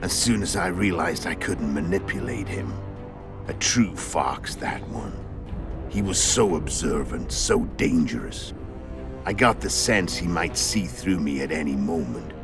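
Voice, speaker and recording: An actor playing a world-weary, cunning male assassin in a game cutscene, gravelly and measured, recounting things with dry irony. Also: lively intonation, exaggerated voice. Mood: calm, wry. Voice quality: very deep, gravelly. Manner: measured, matter-of-fact storytelling with dry irony. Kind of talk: video game cutscene acting. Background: background music.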